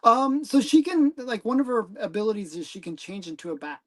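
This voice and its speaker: A man hosts a relaxed livestream chat about his collection. He hesitates often, filling gaps with ums and leaving sentences unfinished. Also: high voice, a little fast. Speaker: comic collector host.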